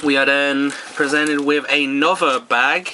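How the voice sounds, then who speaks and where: A man hosting a model kit channel, casually narrating an unboxing with hesitant ums and small self-corrections. He sounds a bit hoarse, as if he is losing his voice. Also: on the high side, fairly even intonation, unhurried, pushed and bright, a little hiss or hum. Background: plastic bags crinkling and rustling.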